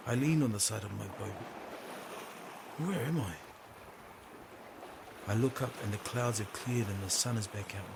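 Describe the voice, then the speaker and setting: A man narrating an audio story in the first person with a theatrical, dramatic manner. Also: fairly low pitch. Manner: theatrical, present-tense storytelling. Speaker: storyteller, voice performer. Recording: strong background noise.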